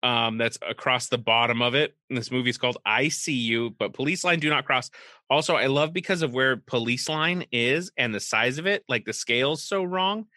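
Bright-voiced joking male podcaster. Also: medium pitch, normal speed, dry recording, clean studio sound. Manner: joking, sarcastic, rambling. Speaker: podcast co-host. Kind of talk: casual comedic chat reviewing a movie poster.

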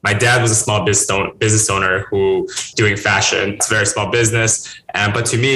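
A man's voice: on the low side, sharp tone, a little hiss or hum. A young man from China whose first language is Chinese, answering interview questions in earnest, rambling answers with restarts. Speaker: guest entrepreneur. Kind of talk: casual podcast interview. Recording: roomy echo.

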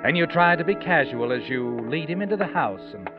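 An actor playing an ominous, knowing narrator in a 1940s radio suspense drama, speaking in an insinuating, measured way. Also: male voice, a little high, very animated. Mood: sly, insinuating. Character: ominous, knowing male narrator addressing the villain. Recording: muffled old radio recording with music.

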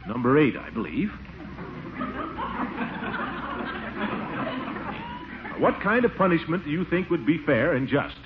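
An actor playing a blustery, exasperated middle-aged uncle in a 1940s radio comedy, pompous and grumbling. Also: male voice, on the high side, very animated, brisk. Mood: stern, blustering. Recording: noisy, tinny old radio recording.

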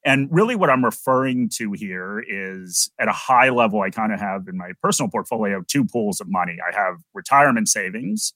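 A middle-aged American man, a podcast guest, explaining investing in a relaxed, conversational way with many "you know"s. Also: on the low side, brisk, hardly any pauses, dry recording. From United States. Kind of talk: podcast interview.

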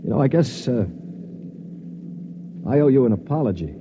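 A man's voice: mid-range voice, animated, moderate pace, background noise, close to an everyday voice. An actor playing an embittered but humbled male spaceship pilot, narrating and speaking earnestly in a 1950s radio drama style. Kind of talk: 1950s radio drama acting, first-person narration and dialogue. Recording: dull vintage radio recording with music.

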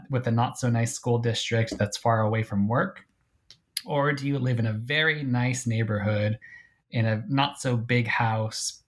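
Thoughtful male author, mid-pitched. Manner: thoughtful, with frequent restarts and hedges. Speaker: author and guest. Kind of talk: academic interview.

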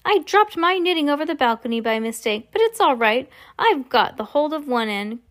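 A woman's voice: high voice, very animated, moderate pace, a bit bright, pitched above the performer's own voice. A woman voicing a chatty, unflappable grandmother with a breezy, polite and composed manner, in a storybook reading.

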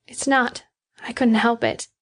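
A woman plays a proud young woman from a storybook romance. Her voice is high, emphatic and earnest, with a stubborn, defiant streak under the love. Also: fairly even intonation, unhurried. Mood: playful, tender. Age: young adult. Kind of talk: audiobook character dialogue.

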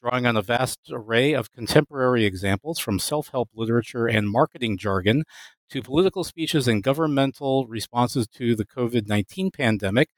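A male podcast host with a mid-range voice and a dry, close sound, reading formally and asking careful questions. He keeps an even, measured delivery. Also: mid-range voice, no room sound. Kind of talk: scripted introduction and interview questions.

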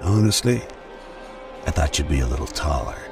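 A menacing radio-drama mercenary with a cybernetic edge. The voice is extremely deep and full of gravel, mocking and at ease, a little off the mic with music underneath. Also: male voice, sing-song, recorded from a distance, full character voice. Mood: coolly taunting. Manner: unhurried, mocking, casually threatening. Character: cool, menacing cigar-smoking cyborg mercenary man.

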